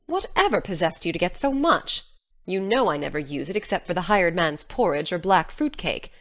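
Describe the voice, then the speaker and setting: A woman's voice: on the low side, big pitch swings, normal speed, fairly bright tone. A woman playing a stern, practical older farm woman in an audiobook drama, scolding and matter-of-fact. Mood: exasperated, disapproving.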